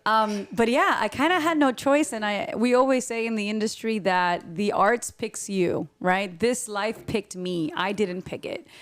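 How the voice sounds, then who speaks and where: A woman with a medium-pitched voice chats as an interview guest. She is theatrical and playful, tossing Spanish phrases into casual, comic talk. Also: a little slow, hardly any pauses. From Miami and New York, United States. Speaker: guest, singer and actress. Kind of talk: casual comedic interview chat.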